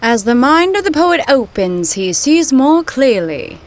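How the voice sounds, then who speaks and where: A determined young adventurer, played by a woman in a comic audio drama. Her voice is high and very animated, and she comes across as brisk and assertive. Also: heavily acted. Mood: earnest. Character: plucky, determined young female time-travelling adventurer.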